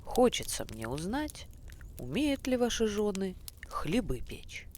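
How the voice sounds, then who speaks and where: A woman's voice: medium pitch, very animated, a little slow, darkish tone, slight background noise, voice pushed up. A woman voicing an old tsar addressing his sons in a bedtime fairy tale, in a stately, raised voice. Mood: commanding. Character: old tsar father, commanding.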